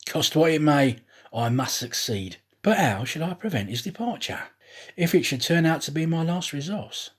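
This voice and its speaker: Anxious, calculating detective voiced by a man reader, theatrical and exclamatory, raised swinging pitch, husky edge. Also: expressive, normal speed. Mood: brooding, determined. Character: scheming, anxious detective feigning innocent surprise. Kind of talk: acted audiobook dialogue.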